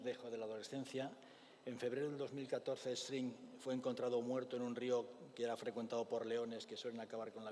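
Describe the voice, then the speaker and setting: A man's voice: medium pitch, a little mellow, a little hiss or hum. Spanish man lecturing in a reverberant hall. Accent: Spain. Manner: measured, thoughtful, with frequent hesitation fillers. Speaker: clinician giving a conference talk. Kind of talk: forum lecture with storytelling.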